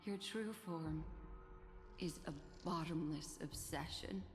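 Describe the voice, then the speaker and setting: Calm defiant game girl, lowish voice, formal n sardonic, long reverb, music under. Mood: cool, accusing. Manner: measured, formal, cool. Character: composed, defiant young woman, quietly sardonic. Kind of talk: scripted video game voice acting.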